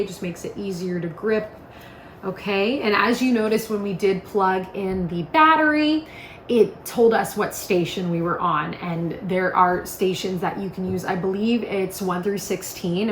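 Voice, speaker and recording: A woman giving a casual product demonstration in an upbeat, informal, chatty way. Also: medium pitch. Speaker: product presenter. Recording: echoey room with faint noise.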